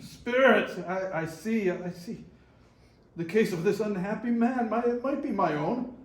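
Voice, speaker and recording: A man playing a frightened old miser in a readers theater drama, loud and pleading in an echoey room. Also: high-pitched, unhurried. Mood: horrified pleading. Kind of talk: readers theater stage acting.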